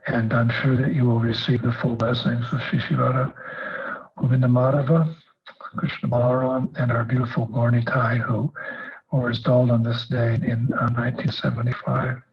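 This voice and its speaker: A man giving a recorded video greeting, speaking haltingly with frequent fillers and restarts. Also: mid-range voice, little pitch movement, lo-fi sound. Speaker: guest speaker in a video message.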